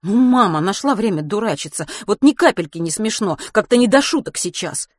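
Teenage boy played by a woman, higher pitch, agitated, indignant, impatient, exclamatory, audiobook character, dry sound. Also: sing-song, a little slow, fairly bright tone, dry recording. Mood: exasperated. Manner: exclamatory, blurting questions.

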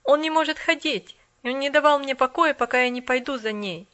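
A woman's voice: high-pitched, a little fast, a bit bright. A woman reading aloud and voicing a proud poor boy who talks urgently and tries hard to be polite. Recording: dull lo-fi recording.

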